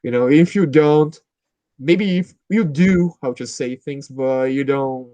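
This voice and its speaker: Brazilian man speaking halting English. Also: medium pitch, mellow, no echo. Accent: Brazilian Portuguese. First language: Portuguese. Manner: hesitant, restarts often, laughs while telling stories. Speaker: language club member. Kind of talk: casual conversation practice.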